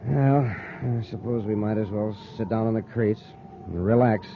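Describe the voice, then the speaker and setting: A man's voice: lowish voice, normal speed, natural voice. Male actor as injured space pilot, plucky, plain-spoken, wry, 1950s radio adventure, muffled noisy recording. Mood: resigned.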